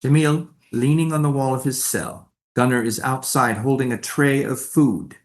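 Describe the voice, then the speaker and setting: Guy narrating stage directions at a play reading, normal mid pitch. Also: steady tone, moderate pace.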